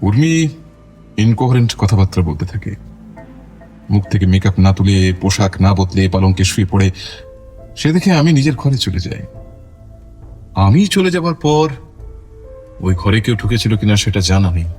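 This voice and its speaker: An actor playing a proud veteran film star under suspicion, measured and self-assured but curt, in an audio drama. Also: male voice, fairly low pitch, expressive, a little mellow, faint music behind, close to an everyday voice. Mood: measured recounting. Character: proud veteran film star, a controlled, irritated murder suspect. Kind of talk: Bengali audio drama acting.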